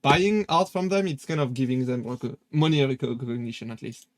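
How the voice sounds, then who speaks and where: A man's voice: mid-range voice, moderate pace, no echo. A young man with a French accent chatting casually on a live stream, hesitant with many fillers and restarts. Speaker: VTuber streamer.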